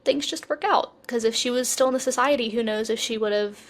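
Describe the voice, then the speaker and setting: A woman with a fairly high voice talks casually as a podcast co-host. She is informal and animated, dropping in asides as she chats about entertainment. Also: a little fast. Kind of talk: casual chat about TV shows.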